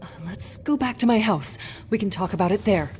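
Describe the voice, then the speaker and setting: A woman's voice: mid-range voice, a little fast, darkish tone, slight background noise, lightly acted. An actress playing a concerned, soothing townswoman looking after a friend in a video game drama.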